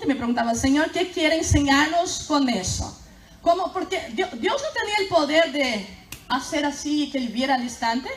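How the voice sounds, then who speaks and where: A woman whose first language is Portuguese, preaching a sermon with emphatic bursts of fervor. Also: sits high. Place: church hall. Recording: echoey church hall.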